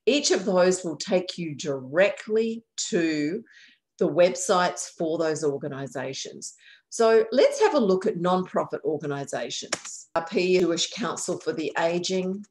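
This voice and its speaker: A woman's voice: fairly low pitch, expressive, unhurried, a little mellow, poor audio. Woman guiding a website tour. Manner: friendly, explanatory, self-correcting. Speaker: county aging services guide. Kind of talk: screen-share website walkthrough. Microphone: computer mic on a video call.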